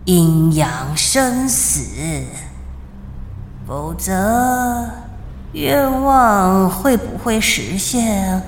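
Mysterious old shopkeeper, super slow, ominous, swoopy pitch, big echo. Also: female voice, animated, slight background noise. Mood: ominous warning. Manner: slow, ominous, dramatic pauses. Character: mysterious, solemn old shop owner. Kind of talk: radio drama acting. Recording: echoing long reverb, faint ambience.

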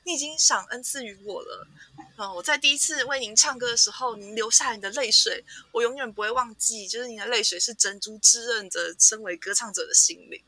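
A woman voicing a humble, devoted nightingale in a fairy tale, speaking softly and earnestly. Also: a little high, brisk. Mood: humble devotion.

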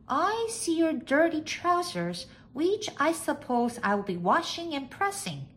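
Woman playing a high, chirpy storybook housekeeper. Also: sing-song, measured pace, a bit bright, full character voice. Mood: plucky. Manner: eager, polite, chirpy. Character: eager, plucky housekeeper woman, polite but doubtful. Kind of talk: storybook character acting.

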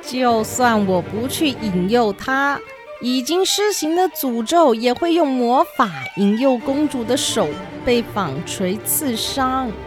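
A woman voicing a brooding dark witch, hurt but reasonable, with a measured, resigned manner in a children's radio play. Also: a little high, over music, pitched above the performer's own voice. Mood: grave.

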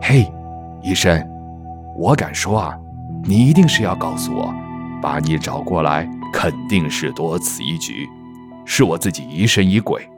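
Man acting expressive low-voiced fatherly gentleman. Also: unhurried, music in the background. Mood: jovial. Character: middle-aged father, a reassuring, talkative castle gentleman. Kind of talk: audio drama acting.

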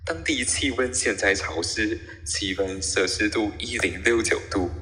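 A forceful male captain, played by a man makes formal announcements in a radio play. The voice is very low and carries some hall reverb. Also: a little slow, soft background music. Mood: formal, official. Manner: formal, official. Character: male airline captain addressing passengers. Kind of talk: scripted captain's cabin announcement.

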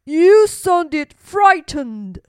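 A woman voicing a kind but firm male elephant in a children's story, speaking politely and deliberately. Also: extremely high pitch, very animated, darkish tone, pitched above the performer's own voice, heavily acted. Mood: concerned.

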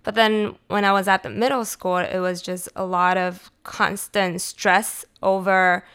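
Smooth-voiced woman in an interview. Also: mid-range voice, level tone, a little slow, a bit bright. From United States and Taiwan. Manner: easygoing, hesitant fillers, laughs lightly. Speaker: guest, food blogger and marketing strategist. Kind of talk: interview answers.

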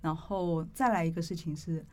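A woman's voice: lowish voice, normal speed. A middle-aged woman with a Taiwanese accent, interviewing conversationally in articulate, discursive turns.

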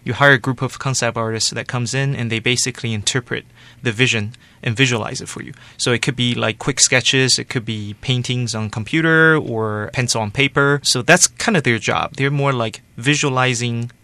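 Guy from taiwan, 40s, bright forward voice, explains stuff steady w lots of "like" and "you know", dry close mic. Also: medium pitch, moderate pace, few pauses, dry recording. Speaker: animation studio director, guest. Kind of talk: casual interview answers.

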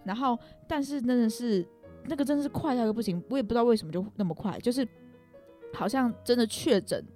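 Young woman, Taiwanese Mandarin accent, casual storytelling, rambling, self-deprecating, rapid pace, faint background music. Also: on the high side, dark tone. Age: young adult. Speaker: podcast guest.

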